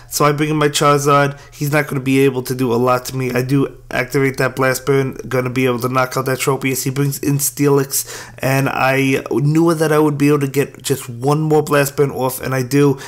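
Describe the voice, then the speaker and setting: Hoarse man narrating his matches. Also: medium pitch. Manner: apologetic, casual play-by-play. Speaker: game commentator. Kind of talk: gameplay commentary.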